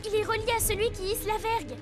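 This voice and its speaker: A woman voicing a bright, bossy young ninja schoolchild in a cartoon. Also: very high voice, fast talker. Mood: explaining. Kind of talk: French cartoon dub acting. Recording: loud music behind the voice.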